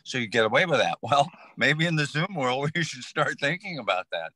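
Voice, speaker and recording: Bright, forceful man, dry close sound. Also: medium pitch, big pitch swings, fairly quick, dry recording, low-quality recording. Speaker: panel guest. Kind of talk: casual panel chat.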